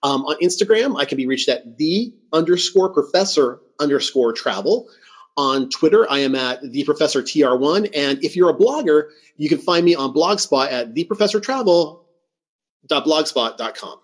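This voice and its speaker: A man hosting a travel podcast and interviewing a guest, upbeat and quick to laugh. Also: a little high, expressive, slightly rough. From California. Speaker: podcast host. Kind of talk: casual interview.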